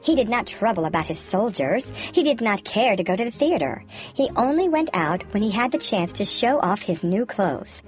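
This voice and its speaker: Woman narrating a fairy tale, lively intonation. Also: mid-range voice, normal speed. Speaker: storyteller. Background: background music.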